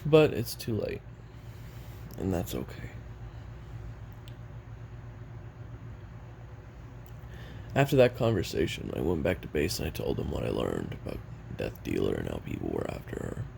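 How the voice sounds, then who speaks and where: A man performs a brooding hitman confessing on tape in an audio drama. His voice is extremely low and heavily fried, halting and weary with regret, yet it moves up and down a good deal as he recounts his past. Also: very animated, warm tone, slight background noise, exaggerated voice. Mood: regretful, resigned. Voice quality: gravelly, creaky. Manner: halting, brooding, casually profane. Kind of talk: audio roleplay monologue, taped confession.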